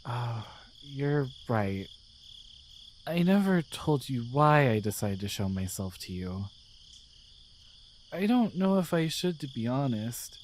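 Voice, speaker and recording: A man voicing a devoted, gentle guardian angel in an intimate romantic ASMR roleplay, speaking softly with tender, hesitant care. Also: mid-range voice, big pitch swings, takes it slowly, long pauses, a little hiss or hum. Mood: confiding, hesitant. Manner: soft, tender, hesitant, soothing. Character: devoted, gentle male guardian angel in love with their human.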